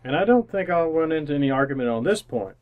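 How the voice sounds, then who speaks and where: A man narrating and pitching a sponsor as an old-time radio announcer, in a polished, folksy scripted style. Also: lively intonation, fast, phone-quality sound, over music, natural voice.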